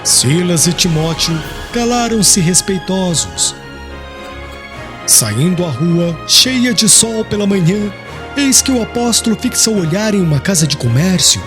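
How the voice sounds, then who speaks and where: High-pitched male narrator over music. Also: big pitch swings, unhurried. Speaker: audiobook narrator. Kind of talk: scripted narration, literary and solemn.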